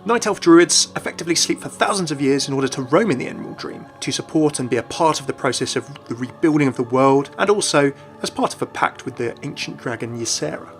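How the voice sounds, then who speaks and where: A man's voice: mid-range voice, expressive, fast, few pauses. A man hosting a video game channel, reading a scripted explanatory narration. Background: background music.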